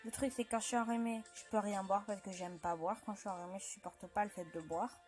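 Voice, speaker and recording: A woman's voice: medium pitch, fairly even intonation, fast, darkish tone. A woman with a cold and a dry throat, rambling and apologizing as she comments on her mobile game. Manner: chatty and rambling, often apologizing. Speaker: gaming channel host. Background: game music.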